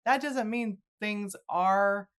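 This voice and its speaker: A woman's voice: mid-range voice, takes it slowly, smooth. A middle-aged woman hosting a podcast, talking in a casual, chatty way and slipping into little quoted asides. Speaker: podcast host interviewing an author. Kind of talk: casual conversational interview.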